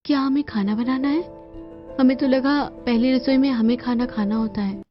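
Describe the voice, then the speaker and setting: A woman's voice: fairly high pitch, fairly even intonation, moderate pace, dull audio, music in the background, lightly acted. A woman voicing a naive, greedy young bride in a family story, guileless and whiny. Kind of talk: dramatized family story voice acting.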